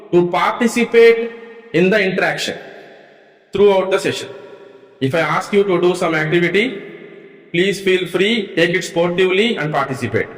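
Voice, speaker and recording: A man's voice: high voice, a bit bright. A man leading a workshop for teachers, earnestly repeating key points and inviting answers from the room. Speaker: teacher trainer. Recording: echoey hall with long reverb.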